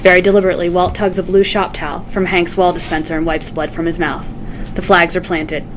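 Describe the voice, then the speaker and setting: Female narrator for a dramatic table read, fairly low voice, vivid scripted delivery, slight background noise. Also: little pitch movement, a little fast, poor audio. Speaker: stage directions narrator.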